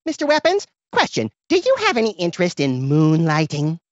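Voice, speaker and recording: A manic little cartoon rabbit who delights in mayhem. The voice sits high and swoops wildly from impish glee to deadpan mock innocence. It is recorded dry, as slightly muffled video game dialogue. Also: male voice, a little fast, dry recording. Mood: eager, friendly. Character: hyperactive, gleefully violent little cartoon rabbit vigilante.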